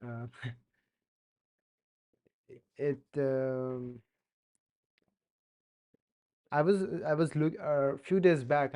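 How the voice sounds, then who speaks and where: A man's voice: medium pitch, mellow. A young man with an Indian accent chatting casually while coding on a live stream, hesitant with many fillers and restarts. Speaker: streamer learning to code.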